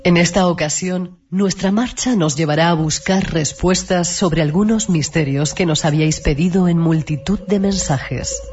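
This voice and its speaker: Show narrator, dramatic scripted preview, loud music underneath, dull sound. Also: medium pitch, moderate pace, hardly any pauses. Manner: dramatic announcer-style delivery. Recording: dull broadcast audio over loud music.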